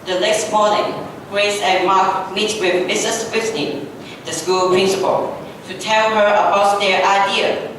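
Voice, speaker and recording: A woman narrates a readers' theatre story from a script at a middling pitch. She sounds distant in a very echoey room with faint noise. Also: steady tone, a little slow, a bit bright.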